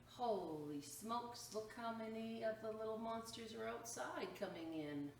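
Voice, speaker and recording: A woman reading a children's picture book aloud as a storytime reader, expressive and exclaiming, pausing to point out the pictures to children. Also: on the high side. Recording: roomy echo, distant mic, faint noise.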